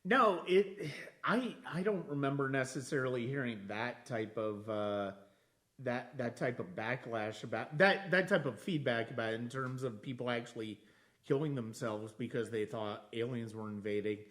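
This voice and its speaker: A man hosting a casual podcast discussion, speaking in halting, broken phrases as he thinks aloud. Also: medium pitch, a bit bright. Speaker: podcast host.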